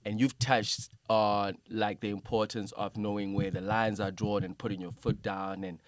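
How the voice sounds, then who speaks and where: A man's voice: fairly low pitch, flat delivery, normal speed, no echo. Encouraging male interviewer, dry sound. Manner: encouraging, frequent affirmations. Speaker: interviewer, host. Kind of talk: casual conversational interview.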